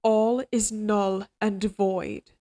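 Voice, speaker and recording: Woman acting a slow-speaking, regal evil queen. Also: mid-range voice, fairly even intonation, mellow. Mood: coolly firm. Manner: formal, measured, courtly diction. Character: regal possessive evil queen, tender yet coldly controlling. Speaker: voice actor in audio roleplay. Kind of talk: slow dramatic audio roleplay monologue.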